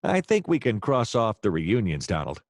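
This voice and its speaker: An actor playing a hapless hard-boiled private detective, narrating his case in a wry, deadpan noir radio-play style. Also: lowish voice, moderate pace, no echo, studio quality. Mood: eager, pushy.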